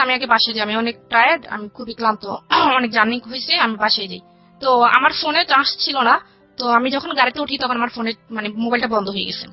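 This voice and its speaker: High-pitched Bangladeshi woman, dull recording. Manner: recounts dialogue in detail, quoting both sides. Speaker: guest telling her life story. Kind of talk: conversational personal storytelling. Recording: dull lo-fi radio audio with music. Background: background music.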